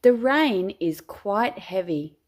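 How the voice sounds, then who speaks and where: A woman with an Australian accent reads a children's storybook aloud like a teacher at storytime. Her pace is very slow and deliberate, and her pitch moves up and down in a lively way for young listeners. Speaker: teacher reading picture books aloud.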